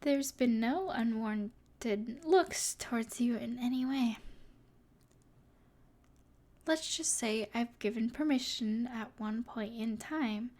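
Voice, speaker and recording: A woman playing a smug, teasing evil sorceress in an intimate ASMR roleplay, with a breathy edge and a haughty, mysterious manner. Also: fairly high pitch, slow, darkish tone.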